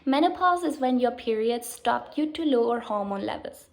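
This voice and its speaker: Woman with a gravelly edge. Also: on the high side, expressive, normal speed. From Austria. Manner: earnest, explanatory, with small restarts. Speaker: health practitioner. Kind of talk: informal talk to camera on women's health.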